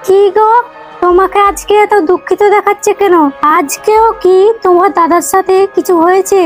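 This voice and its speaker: A woman voicing a worried, scolding village wife in an animated folk tale, with a nagging, questioning way of talking. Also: extremely high pitch, normal speed, soft background music. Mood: concerned.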